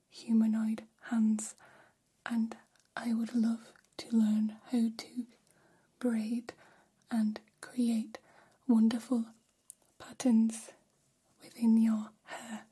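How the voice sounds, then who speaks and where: A woman voicing a gentle, curious humanoid alien in whispered ASMR roleplay, speaking in soothing, stilted, formal phrases. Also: a little high, completely flat delivery, takes it slowly, full character voice. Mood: grateful, gentle. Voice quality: breathy whisper.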